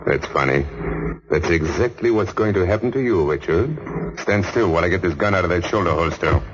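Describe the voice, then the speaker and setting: A muffled, noisy 1940s radio recording carries a cold gangster. His voice is very deep, and he speaks in curt, matter-of-fact menace in vintage crime drama style. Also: animated, brisk. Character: cold, menacing male gangster holding a gun.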